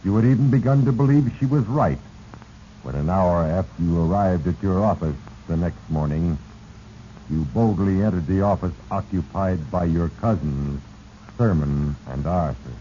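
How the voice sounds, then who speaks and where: A man's voice: deep voice, moderate pace, dark tone, lightly acted. An actor playing Fate, a sinister, portentous narrator in a 1940s radio drama, speaking straight to his victim. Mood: ominous. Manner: ominous, portentous second-person address. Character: sinister heavy-handed male Fate, narrator manipulating doomed men. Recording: muffled, noisy 1940s radio recording.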